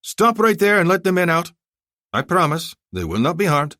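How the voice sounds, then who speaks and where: A man's voice: on the high side, big pitch swings, normal speed, a bit bright, dry recording, studio quality, voice pushed up. A man voicing a ruthless gloating army colonel. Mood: shouting. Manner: barking orders, gloating.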